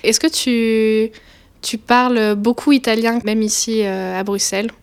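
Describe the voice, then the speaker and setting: A woman conducts an interview, asking short questions at a measured pace. Her voice is clear and sits somewhat high. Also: steady tone.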